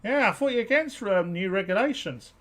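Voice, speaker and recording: A woman's voice: mid-range voice, expressive, a little fast. A woman host with a British accent with a slight South African twang, chatting casually and hesitantly with many ums. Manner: hesitant, lots of ums and restarts. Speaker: show host. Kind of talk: casual chat.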